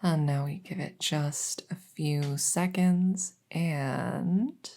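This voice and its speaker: A woman playing a kindly, rambling vet in an ASMR audio roleplay, explaining things softly and hesitantly with lots of reassurance. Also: low voice, extremely slow, creaky, heavily acted. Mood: gentle, clinical. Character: kindly, rambling female vet for supernatural patients. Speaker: voice roleplay performer.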